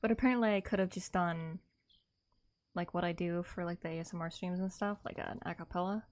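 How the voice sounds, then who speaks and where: A woman's voice: fairly low pitch, fairly even intonation, a little fast. A woman chatting casually to her livestream viewers in a rambling, repetitive way. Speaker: streamer, artist and ASMR creator.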